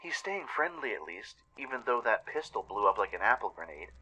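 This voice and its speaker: A man's voice: fairly low pitch, big pitch swings, brisk, bright, forceful tone, exaggerated voice, poor audio. A man voicing a meek, anxious, naively curious young pony in a radio play, with flustered questions and stammers. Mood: nervous wondering.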